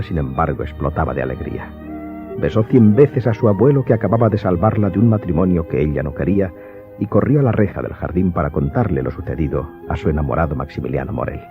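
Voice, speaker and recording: A man delivering scripted narration for a radio drama audiobook, as the storyteller. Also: low voice. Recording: muffled, narrow-band audio with faint music.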